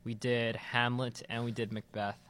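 A man's voice: medium pitch, flat delivery, moderate pace, a bit bright. A young man in a casual conversation, speaking loosely and filling with "like". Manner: loose, lots of "like". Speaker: former student and guest.

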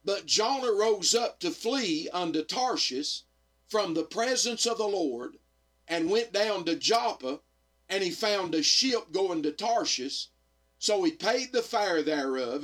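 Slightly hoarse preacher man. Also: sits high, a bit bright. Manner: earnest preaching with frequent "amen" and "uh". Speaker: radio evangelist and pastor. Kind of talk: radio sermon.

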